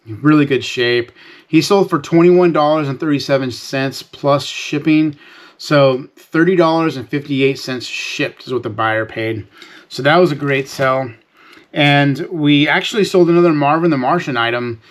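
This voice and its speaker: A man with a mid-pitched voice speaks casually and off the cuff as the host of a reseller vlog. He explains things conversationally, with folksy turns of phrase. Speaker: reseller hosting a sales recap vlog. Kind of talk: casual unscripted talk to viewers.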